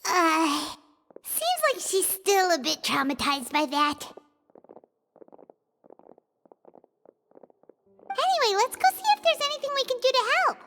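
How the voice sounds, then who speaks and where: A woman voicing a small, excitable, childlike female companion creature in a video game, with a squeaky, chirpy voice. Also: normal speed, in a large hall, music in the background, full character voice. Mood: concerned. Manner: exclamatory, chirpy. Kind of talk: video game character acting.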